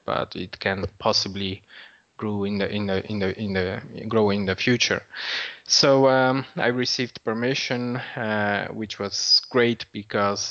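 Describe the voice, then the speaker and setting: Slow halting man. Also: fairly low pitch, fairly bright tone. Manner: hesitant, halting, many fillers and repeated words. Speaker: nonprofit founder, guest. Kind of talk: interview answers. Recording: dull lo-fi audio.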